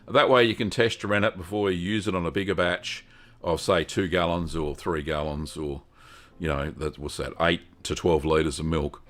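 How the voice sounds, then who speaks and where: A man's voice: low-pitched, steady tone, normal speed, a bit bright. An older man hosting a live-stream Q&A, talking in a rambling, friendly way with lots of ums. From Australia. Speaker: host answering cheese-making questions.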